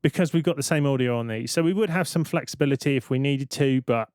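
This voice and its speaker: A man giving an informal, step-by-step software demonstration as a tutorial presenter. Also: mid-range voice, fast talker, clean tone, soft background music.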